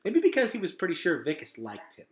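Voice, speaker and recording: A man reading a children's novel aloud as narrator, in an expressive storytelling style. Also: high voice, fast, echoey room, slight background noise. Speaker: read-aloud reader.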